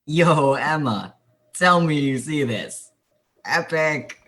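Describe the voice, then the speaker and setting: Slangy excited teenage boy, voiced by an actor. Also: mid-range voice, slow. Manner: slangy, casual. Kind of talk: amateur radio play acting.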